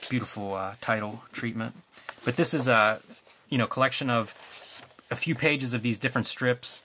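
A man in a casual show-and-tell chat about old comics, relaxed and musing, trailing off with fillers. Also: mid-range voice, slight background noise. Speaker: co-host showing comics.

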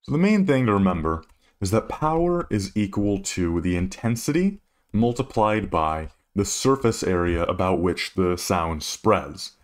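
A man with a low-pitched voice gives an instructional explanation. He works through a problem as a tutor would, laying out each step methodically. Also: very animated, a little slow. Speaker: physics tutor.

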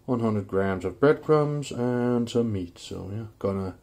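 A man casually narrating a home cooking vlog in a laid-back, somewhat halting way. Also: mid-range voice, animated, a little mellow. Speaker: home cook, video host. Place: indoors in a home kitchen.

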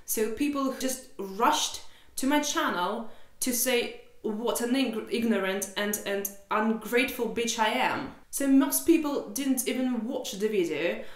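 Young Russian woman, frank vlog to camera, sarcastic edge, some echo from the room. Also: fairly high pitch. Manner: exasperated, candid, sarcastic, swears freely. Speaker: vlogger. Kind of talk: casual chat to camera. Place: small room indoors.

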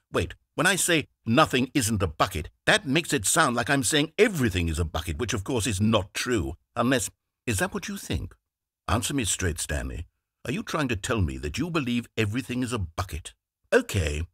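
A fussy, theatrical game narrator. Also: male voice, medium pitch, no room sound. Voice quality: husky, very animated delivery. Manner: dramatic, exasperated and condescending. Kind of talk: scripted video game voice acting. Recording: dry close studio recording.

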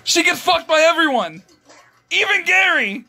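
Excitable young man with very lively pitch. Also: moderate pace. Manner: excitable, swearing. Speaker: video maker. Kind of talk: casual profane chat with friends. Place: small bedroom, indoors.

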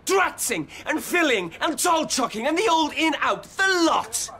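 An actor playing a whining, violent fourteen-year-old British gang leader in a radio drama, talking in florid teen slang. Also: male voice, very high voice, sing-song, measured pace, pushed and bright, heavily acted. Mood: defiant shouting. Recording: noisy radio drama sound scene.

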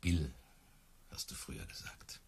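An actor playing a proud, easily hurt circus tamer in radio play dialogue, earnest and touchy. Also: male voice, unhurried, in a whisper, close to an everyday voice. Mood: hurt, resigned.

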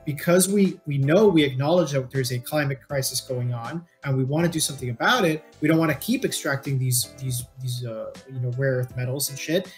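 A man in his thirties talks like a co-host in a relaxed discussion. His explanations are informal and peppered with swearing. He has a mid-pitched voice, and guitar music plays behind it. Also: fairly quick, few pauses. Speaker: co-host of a read-along book discussion show. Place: indoor room, remote video call.

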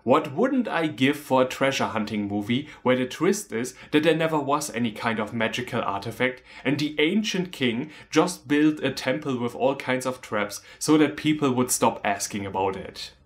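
A man's voice: medium pitch, expressive, moderate pace, few pauses. A man, narrating a scripted comedic movie recap in a sarcastic, irreverent manner. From Germany. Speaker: movie reviewer, video host.

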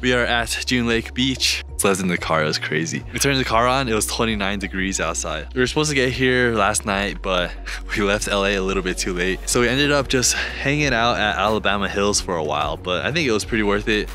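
A young adult man casually chatting to a phone camera held at arm's length outdoors by a lake, telling a relaxed story. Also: on the low side, a little fast, soft background music. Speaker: vlogger's travel partner.

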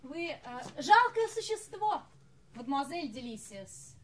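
A woman's voice: high-pitched, very animated, heavily acted. An actress playing a haughty, strict lady café orchestra leader on stage, speaking in an imperious, theatrical manner. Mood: stern, scornful. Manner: imperious, theatrical, measured enunciation.

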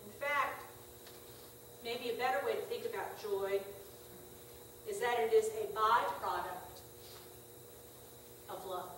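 A middle-aged woman pastor giving a conversational, gently humorous sermon in a small church. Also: medium pitch, slow, long pauses, a little hiss or hum. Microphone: far room camera. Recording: echoey church from far off.

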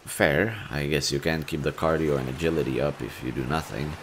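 Low-voiced, kind, bashful young man acted by a man. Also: a little fast, a little hiss or hum. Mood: curious, sympathetic. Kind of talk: audio roleplay speaking softly to the listener.

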